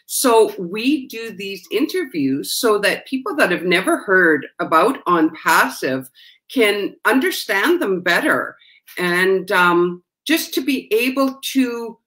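A woman's voice: mid-range voice, animated, takes it slowly, a bit bright. An older woman hosting an interview over a video call, chatty and upbeat. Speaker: host. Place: home room, indoors. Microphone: webcam on video call.

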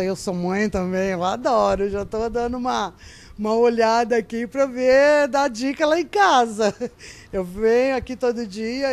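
A middle-aged woman artisan, interviewed for TV news at an indoor craft fair on a handheld mic, talking in a chatty, playful way. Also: a little high. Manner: chatty, playful, animated when quoting herself. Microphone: handheld reporter mic.

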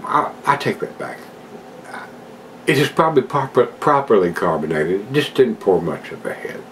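A man's voice: mid-range voice, big pitch swings, normal speed, fairly bright tone, a little hiss or hum, poor audio. An older man at home casually reviewing a beer, chatting to his viewers in a folksy way. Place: home room with a desk and plants, indoors.

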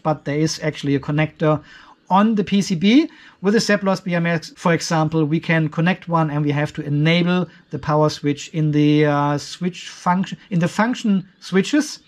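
High-pitched man explaining tech. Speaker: video host, off-grid tech reviewer. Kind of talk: explanatory tech review over a spreadsheet.

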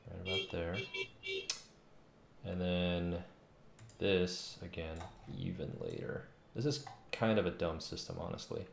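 A man in his thirties with a very low voice full of fry, thinking out loud in an informal, self-deprecating way. The room rings noticeably around him, with keyboard clicks and a faint noise underneath. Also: animated, measured pace, long pauses, roomy sound. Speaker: game developer livestreaming. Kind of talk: casual thinking aloud while working. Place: indoor home room. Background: faint noise, keyboard typing and clicks.

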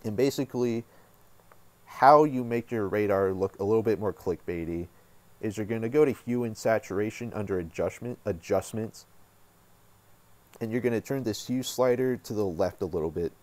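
A man hosting a casual software tutorial, talking informally and thinking aloud as he works. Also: fairly low pitch, normal speed. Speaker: tutorial host.

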